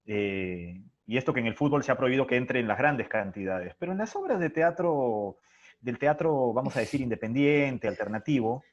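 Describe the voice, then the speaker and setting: A man speaking reflectively and expressively as an interview guest, thinking aloud as he explains. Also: medium pitch. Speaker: interview guest, theatre playwright and director. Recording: muffled lo-fi online call.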